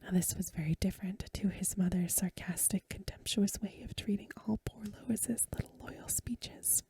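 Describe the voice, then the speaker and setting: A woman reading a story aloud for ASMR in a hushed, gentle, unhurried whisper, close to the microphone. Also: medium pitch. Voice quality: whispery, breathy. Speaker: ASMR reader and host. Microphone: close mic, dry.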